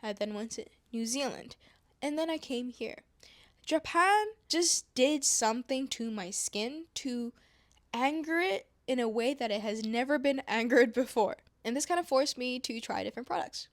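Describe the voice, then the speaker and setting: A young woman from Indonesia chatting calmly to camera, soft-spoken with whispery asides and self-mocking laughs. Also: high voice, moderate pace, no echo. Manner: playful, laughing at herself. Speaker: vlogger. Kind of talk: calm casual chat to camera. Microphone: wireless clip-on mic.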